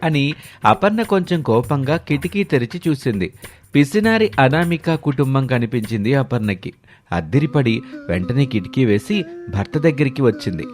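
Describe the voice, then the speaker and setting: Male storyteller for animated moral tales: medium pitch, scripted delivery, faint music bed. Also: moderate pace. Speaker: storyteller narrator.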